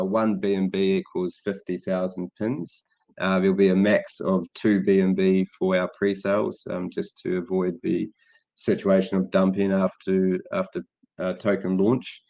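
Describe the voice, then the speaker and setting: Low, flat-voiced Kiwi man. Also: a little slow, creaky. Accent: New Zealand. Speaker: host from the project team. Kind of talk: casual Q&A hosting. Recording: dull lo-fi call audio.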